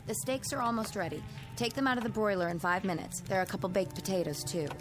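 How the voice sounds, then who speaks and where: An actress playing a weary, sarcastic housewife in a radio drama. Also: female voice, medium pitch, lively intonation, fast, lightly acted. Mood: exasperated. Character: exasperated wife, sarcastic, weary of drunken guest. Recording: radio drama with clear music bed.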